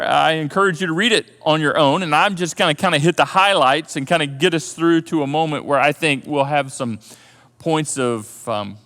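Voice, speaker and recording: Male pastor, lively storytelling sermon, bright tone, long church-hall reverb. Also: on the high side. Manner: animated storytelling, thinks aloud with repeated words. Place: church auditorium.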